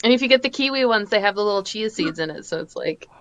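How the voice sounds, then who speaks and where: A woman's voice: mid-range voice, expressive, quick pace. A young woman chatting casually and informally, with slangy phrasing, in a group. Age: young adult. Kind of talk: casual group chat after a show.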